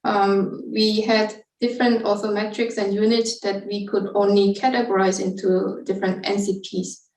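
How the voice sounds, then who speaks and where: Echoey room, a bit far from the mic, woman, higher pitch, hesitant explanatory delivery, scientific webinar. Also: flat delivery, moderate pace, darkish tone. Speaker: researcher presenting study methods.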